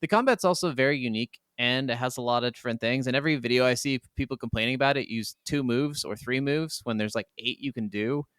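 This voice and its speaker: A man's voice: mid-range voice, fast talker, hardly any pauses, a bit bright, smooth, dry recording. A man co-hosting a podcast, talking about games in a casual, informal and enthusiastic way. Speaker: podcast co-host. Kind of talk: casual gaming chat.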